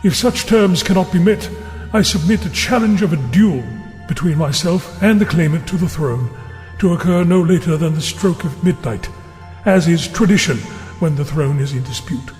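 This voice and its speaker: A fairly high-voiced man acting as a deferential royal herald. He declaims formally and with measure, as in an audio drama, in a reverberant hall over music. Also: normal speed, a little mellow, natural voice. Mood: formal proclamation.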